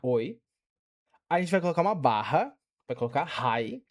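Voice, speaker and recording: Young brazilian guy doing a game tutorial, bouncy voice, kinda high, lots of fillers. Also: moderate pace. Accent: Brazilian Portuguese. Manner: lively, explains step by step with many fillers. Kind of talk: casual screen-recorded tutorial.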